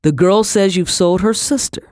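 A woman voicing a weary male colonel of colonial times, stiff and measured, in a storybook reading. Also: medium pitch, a little mellow, no echo, clean studio sound, voice pushed up, close to an everyday voice. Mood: measured, firm.

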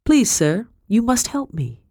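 A woman's voice: on the high side, sing-song, unhurried, dark tone, no echo, clean studio sound, pitched above the performer's own voice, heavily acted. A woman voicing a frightened enslaved girl who pleads desperately for help in a storybook reading. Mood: desperate pleading.

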